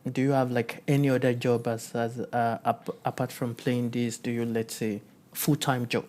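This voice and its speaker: Man asking halting interview questions. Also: mid-range voice, level tone, darkish tone. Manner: short backchannels, halting questions. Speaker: interviewer host. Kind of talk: casual interview.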